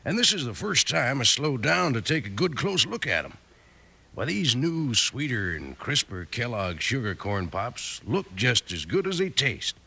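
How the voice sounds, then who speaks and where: A jolly cowboy pitchman in a vintage radio commercial. His American voice is bright and swings up and down in an animated, exuberant, folksy way. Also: normal speed, vocal fry, breathy edge, heavily acted. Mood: jolly, enthusiastic. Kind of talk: 1950s radio advertisement.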